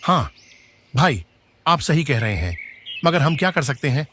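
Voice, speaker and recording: A man's voice: a little high, very animated, measured pace, fairly bright tone, slight background noise. A man voicing a tiger who speaks for the jungle animals in a cartoon fable, deferential yet firm. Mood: doubtful. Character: tiger subject, doubtful spokesman of jungle animals. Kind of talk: Hindi animated moral story dialogue.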